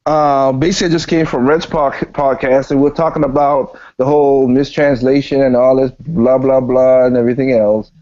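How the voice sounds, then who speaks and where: A man's voice: medium pitch, level tone, normal speed, few pauses, dark tone, highs cut off. A man chatting casually and emphatically on a gaming podcast, slangy and given to repeating himself for emphasis. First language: not standard American English. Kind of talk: casual opinionated podcast chat.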